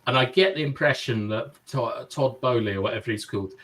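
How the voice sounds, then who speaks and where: A man's voice: medium pitch, a little fast, a bit bright. A man co-hosting a casual football chat on a video call, reasoning through points with "like" and "I think". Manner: reasoned, easygoing, hedges with "like" and "I think". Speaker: podcast co-host.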